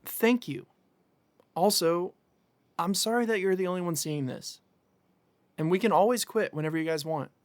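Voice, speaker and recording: A man's voice: high-pitched, moderate pace, long pauses, no echo, lightly acted. An actor playing a wrongly accused, defensive man in an audio drama, speaking plainly and justifying himself. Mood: placating, put-upon. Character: Aaron, accused man, defensive yet fascinated by the strange.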